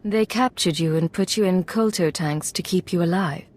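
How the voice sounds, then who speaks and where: Woman acting as imperial spy, slightly low voice, composed, probing, direct, video game dialogue. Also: normal speed, a little mellow, close to an everyday voice. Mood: attentive. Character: composed female imperial secret agent, probing and determined.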